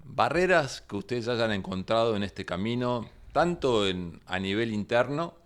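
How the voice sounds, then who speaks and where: A man's voice: mid-range voice, expressive, a little slow, clear, resonant tone. A man with an Argentine accent, hosting and asking questions in a conversational interview style. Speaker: interviewer, host.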